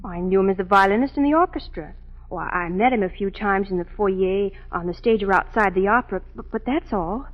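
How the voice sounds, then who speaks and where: A woman acting a gentle, flustered young opera singer in a 1940s radio drama, sweet, polite and hesitant. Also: mid-range voice, brisk, lightly acted. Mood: earnest, puzzled. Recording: muffled, reverberant old radio broadcast.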